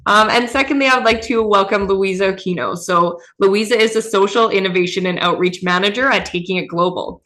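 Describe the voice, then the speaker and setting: A woman's voice: medium pitch, brisk, few pauses, a bit bright. Upbeat woman hosting a youth livestream. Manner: upbeat, chatty. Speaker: host. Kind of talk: live online youth event hosting.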